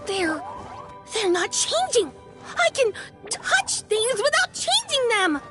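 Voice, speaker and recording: Shy lil baby dragon for a game, really high, slow and earnest, music under it. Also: female voice, big pitch swings, full character voice. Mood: joyful, grateful. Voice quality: childlike. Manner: hesitant, naive, earnest. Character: small young innocent shy dragon, eager for friends. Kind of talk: video game voice acting.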